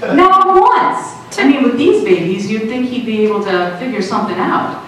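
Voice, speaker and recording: A middle-aged woman from Russia, a native Russian speaker, doing a conversational, expressive stand-up comedy set. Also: a little high, normal speed. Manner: conversational, expressive storytelling to a crowd. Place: small improv theater stage, indoors. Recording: echoey small theater, distant, some noise.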